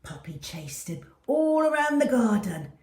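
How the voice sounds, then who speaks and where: A woman reading a children's storybook aloud in an animated, dramatic way. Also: fairly high pitch, a little slow, distant mic. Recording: echoey room.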